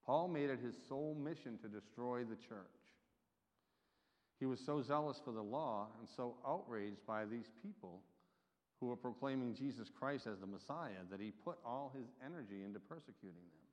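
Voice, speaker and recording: A man preaching a sermon, with emphatic delivery that swells and drops in loudness. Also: medium pitch, normal speed. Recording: dull reverberant hall recording.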